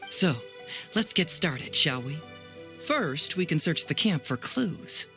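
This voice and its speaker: A man's voice: a little high, very animated, slow pace, music in the background, full character voice. An actor playing a clever, playful young male detective in an anime video game, musing theatrically and teasingly. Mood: confident, playful.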